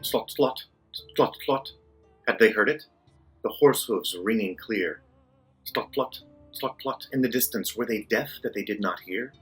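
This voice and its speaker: A man with a raspy voice reading a poem dramatically. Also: fairly low pitch, unhurried, faint music behind. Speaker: poem reader.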